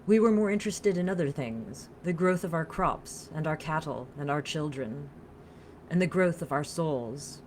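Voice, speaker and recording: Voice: mid-range voice, lively intonation, normal speed, a little mellow, slight background noise, lightly acted. Narrator putting on an earnest French vampire woman. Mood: solemn, reminiscing. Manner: earnest, flowing, formal speech. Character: earnest, solemn French female vampire storyteller.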